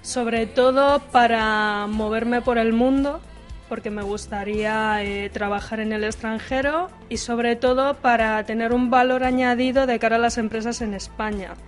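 A woman's voice: a little high, fairly even intonation, measured pace, soft background music. A woman answering casting interview questions with upbeat enthusiasm into a handheld mic in an office hallway. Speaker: casting applicant.

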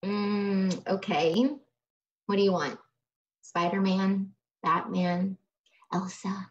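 A woman's voice: mid-range voice, flat delivery, slow, slight background noise, natural voice. A young woman playing a guarded, unimpressed artist, recorded over muffled video call audio. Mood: weary.

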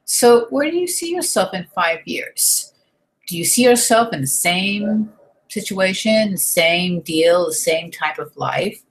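A woman in her thirties, from the United States, giving an earnest, encouraging talk to her webcam at home, often restarting her sentences. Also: on the low side. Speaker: online marketing vlogger. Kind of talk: motivational talk to camera. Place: home room with desk and shelves, indoors. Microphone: webcam at desk.

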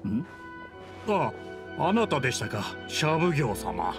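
Animated, deferential clan retainer. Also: male voice, fairly high pitch, slow, music in the background, heavily acted. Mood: businesslike. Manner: formal, humble, polite. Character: deferential, busy male retainer of a noble clan. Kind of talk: anime game voice acting.

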